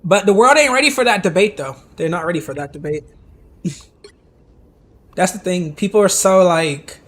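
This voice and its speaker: A man casually commenting on game replays for his live stream viewers, hoarse from losing his voice and talking straight to chat with lots of asides. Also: sits high, measured pace. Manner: chatty, talks to chat directly, many asides and restarts.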